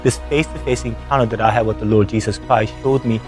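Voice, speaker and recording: A man's voice: on the low side, steady tone, fast talker, over music. Earnest man with stumbling restarts. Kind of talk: religious testimony.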